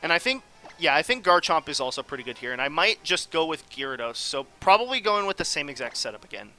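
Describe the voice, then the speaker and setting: A man's voice: a little high, very animated, quick pace, sharp tone, soft background music. A man giving casual, off-the-cuff commentary on a game as host, rambling and analytical as he thinks aloud. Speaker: gaming video host.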